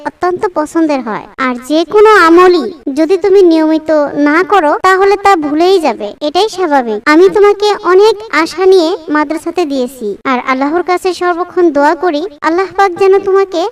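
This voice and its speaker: A woman playing a gentle, pious mother in a cartoon, instructing in a measured way. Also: very high voice, animated, distant mic. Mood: admonishing.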